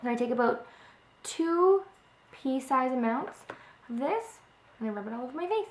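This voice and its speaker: A woman's voice: high-pitched, animated, normal speed, a little hiss or hum. A young woman who is a little sick, chatting casually through a makeup tutorial with frequent ums, filmed on a close webcam in her home kitchen. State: slightly sick, voice a bit off. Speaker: beauty vlogger. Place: home kitchen by a window, indoors. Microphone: webcam at close range. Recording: echoey room sound.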